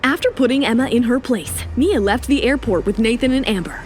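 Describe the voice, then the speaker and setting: A woman narrates a romance audio drama in a fairly high voice. Her delivery is scripted and dramatic, with music playing underneath. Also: a little fast.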